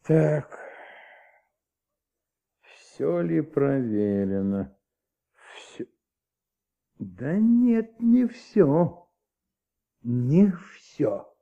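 A man playing a middle-aged, methodical police commissioner who thinks aloud and questions deliberately in a Soviet radio drama. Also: fairly high pitch, very animated, vocal fry, dark tone, muffled recording, exaggerated voice. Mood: pondering. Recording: old Soviet radio recording, faint music.

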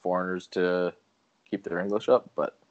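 A man with a very low voice talks casually over a video call. He tells his stories in an unhurried, easygoing way and laughs often. He comes from Canada and is the guest here. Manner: relaxed storytelling, laughs often. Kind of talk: casual chat. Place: home in rural Japan.

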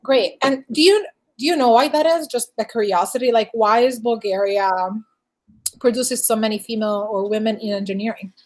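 A woman's voice: on the high side, brisk. A woman hosting an interview, asking long, rambling questions with many fillers. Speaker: podcast host.

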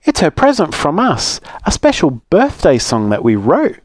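An eager little rabbit, bright and cheerful, her voice lifted high, as a man puts her on. Her pitch bounces lively and friendly. The sound is close and dry. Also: moderate pace, no room sound. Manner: cheerful, explaining. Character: polite grown-up rabbit girl, eager.

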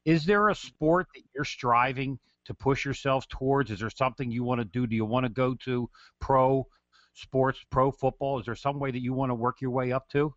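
A man's voice: mid-range voice, fairly even intonation, moderate pace, no echo. Radio co-host guy, joking around, dull lo-fi sound, dry. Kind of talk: radio sports talk.

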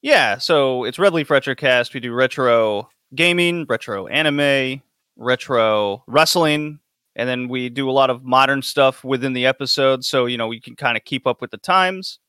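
Bright-voiced man, casual podcast talk. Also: medium pitch, smooth, no room sound, studio quality. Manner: quick, laughing, rambling. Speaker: podcast host. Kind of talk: casual chat.

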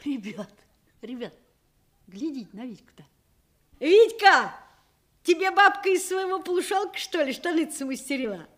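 A cheeky village boy in a radio drama. The voice is high and swoops about, jeering and teasing in singsong, with rustic speech. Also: lively intonation, moderate pace, pauses often. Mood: mocking, gleeful. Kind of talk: radio play acting.

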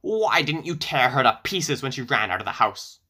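A man's voice: a little high, unhurried, sharp tone. Man playing a screeching, furious old witch. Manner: screaming, shrieking, demanding. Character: Baba Yaga, cruel enraged old witch.

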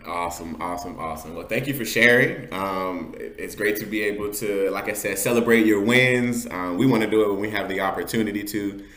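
A man's voice: on the low side, brisk, few pauses, pushed and bright. A man hosting a casual interview in an encouraging, affirming manner, with roomy sound. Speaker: interview host.

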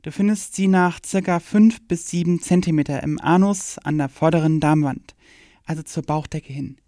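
A woman's voice: low voice, normal speed, mellow, dry recording, studio quality. A woman reading a scripted educational radio play in a frank, matter-of-fact way. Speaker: performer in an audio play.

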